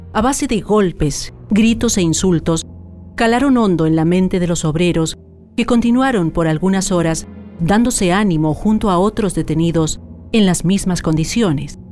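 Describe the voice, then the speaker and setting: A woman's voice: fairly low pitch, darkish tone. A woman giving solemn scripted narration for a radio drama.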